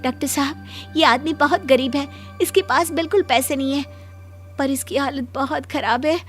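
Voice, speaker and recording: High-voiced anxious nurse, played by a woman. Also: fairly even intonation, normal speed, soft background music, close to an everyday voice. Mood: worried, hesitant. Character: anxious, respectful female night-shift nurse. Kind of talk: Hindi moral cartoon story voice acting.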